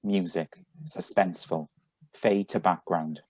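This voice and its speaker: Slow, deep-voiced man reading stage directions. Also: steady tone, a little mellow, slightly rough. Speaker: announcer reading sound effect directions. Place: remote, on a Zoom video call. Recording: live video call reading.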